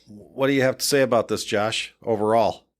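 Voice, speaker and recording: A man's voice: on the low side, steady tone, normal speed. A man hosting a casual discussion as someone who works in the fire service. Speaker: podcast host and fire officer.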